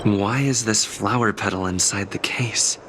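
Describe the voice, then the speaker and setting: A man's voice: on the low side, unhurried, heavy background noise, close to an everyday voice. A man playing a thoughtful young amateur sleuth in a video game, musing in a plain-spoken way. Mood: pensive. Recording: loud game music behind voice.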